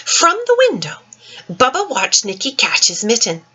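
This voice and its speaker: A woman reads a children's storybook aloud in an expressive, dramatic way, speaking directly to young listeners, with a husky voice. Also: fairly high pitch, normal speed, muffled recording. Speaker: storyteller reading a picture book to children.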